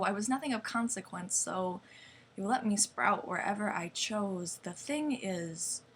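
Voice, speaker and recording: A young woman reciting slam poetry in a deliberate, dramatic way with weighted pauses. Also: mid-range voice. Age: young adult. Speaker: slam poet. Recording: clearly noisy amateur recording.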